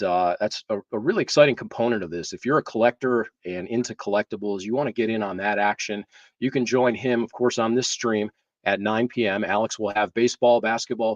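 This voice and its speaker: A man with a fairly low voice hosts a live talk show. He speaks haltingly, with pauses as he goes. The sound is slightly dull and a bit distant from the mic. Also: fairly even intonation. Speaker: show host. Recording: dull, roomy, distant microphone.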